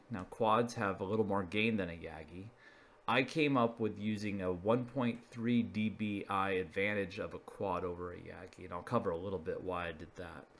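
A man with a fairly low voice narrates an informal slideshow video. He explains things point by point in a plain, matter-of-fact manner, like an everyday presenter working down a list. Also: fairly bright tone.

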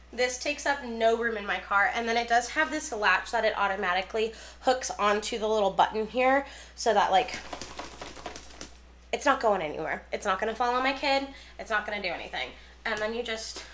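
A woman's voice: fairly high pitch, fairly bright tone, a little hiss or hum. A young woman getting over a cold and losing her voice, chatting through a casual stroller review in her nursery at home, full of ums and likes. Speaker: mom vlogger reviewing a stroller. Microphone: handheld phone camera, then a camera set on the floor.

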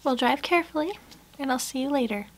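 Soft-spoken young woman, notably high voice. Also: fast talker, a little hiss or hum. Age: young adult. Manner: soft-spoken, polite customer service. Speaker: ASMR creator playing a portrait painter. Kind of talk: ASMR personal-attention roleplay. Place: small indoor craft room.

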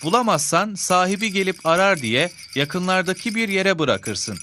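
A man's voice: high-pitched, expressive, normal speed, sharp tone, slight background noise. A man playing a kindly father in a children's cartoon, giving advice in an affectionate, instructive way. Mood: kindly advising. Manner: affectionate, didactic.

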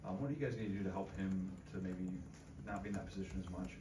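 Reporter guy, real low voice, flat, talks quick, from across an echoey room. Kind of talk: press conference question. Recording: echoey room, far off, background noise.

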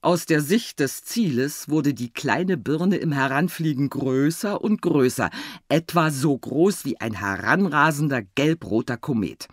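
A woman reading a children's story as an expressive audiobook narrator. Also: sits low, measured pace, dry recording, studio quality. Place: dry recording studio.

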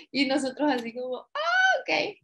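A young woman from Venezuela with a Venezuelan accent, a voice actress chatting as a guest over a video call, lively and laughing often. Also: sits high, takes it slowly. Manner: lively, laughs often, acts out quoted lines. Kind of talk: casual interview chat. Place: home room, video call.

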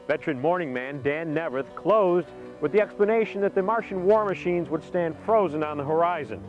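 A man's voice: fairly high pitch, rough recording. A man in his forties with an American accent, delivering scripted documentary narration as a broadcast host. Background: music.

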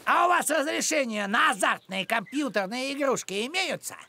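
An actor playing a cranky, officious older village postman in an animated cartoon, excitable and fussy. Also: very high-pitched, normal speed, creaky, exaggerated voice.

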